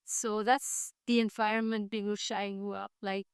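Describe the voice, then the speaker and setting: A woman's voice: mid-range voice, little pitch movement, unhurried, clear, resonant tone, no room sound. Woman, from France, interview guest, fluent and explanatory, dry close recording. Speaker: guest, tour guide. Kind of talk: casual interview.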